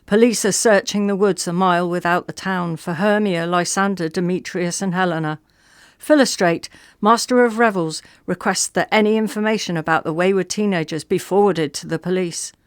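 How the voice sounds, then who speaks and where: A woman playing an earnest radio newsreader, delivering a mock bulletin in a formal, measured, straight-faced manner. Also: on the low side, clean tone, no echo, studio quality, close to an everyday voice. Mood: formal, serious.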